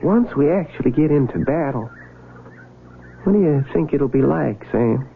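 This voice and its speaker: An actor playing a wary young Civil War recruit with a plainspoken, questioning drawl, heard on a tinny, noisy old radio broadcast. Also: male voice, a little high, expressive, normal speed, gravelly. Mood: troubled. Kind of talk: 1970s radio drama acting.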